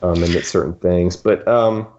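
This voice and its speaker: Deep-voiced guy chatting casually about fan stuff, rambles and restarts, slightly dull recording. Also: steady tone, moderate pace, darkish tone, slight background noise. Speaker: podcast co-host.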